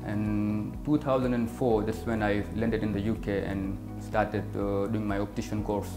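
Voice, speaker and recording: A Kenyan man in his thirties with a lowish voice, an optician telling his story at an unhurried pace. He pauses often on fillers. The room is echoey and has music behind it. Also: little pitch movement. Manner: measured, with many fillers. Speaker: optician and business owner. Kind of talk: interview answers.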